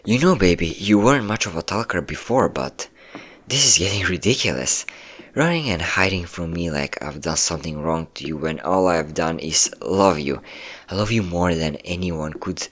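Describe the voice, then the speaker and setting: A man's voice: sits low, expressive, normal speed, a bit bright. A man playing a possessive, manipulative ex-boyfriend in a dramatic ASMR roleplay, coaxing with pet names and sweet-talking menace. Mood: accusing, possessive. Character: possessive, manipulative yandere ex-boyfriend hunting his hidden partner.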